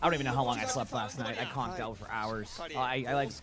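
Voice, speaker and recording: A man streaming live and chatting casually with his viewers while watching a match, rambling with lots of fillers, his voice going a little hoarse. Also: mid-range voice, steady tone, fast talker, loud music behind the voice. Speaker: game streamer.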